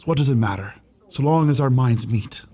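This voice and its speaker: Man playing an earnest, husky young clerk. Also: mid-range voice, moderate pace, warm tone, close to an everyday voice. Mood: eager, lovestruck. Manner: eager, hesitant stammers, frank. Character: earnest, lovestruck, outspoken young male shop clerk. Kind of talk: radio play acting.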